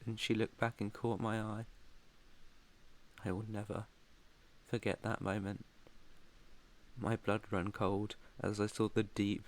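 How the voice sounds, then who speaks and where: A British man playing a protective, haunted yet tender vampire master in an intimate ASMR roleplay, speaking softly, earnestly and deliberately. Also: on the low side, level tone, natural voice. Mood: haunted, solemn.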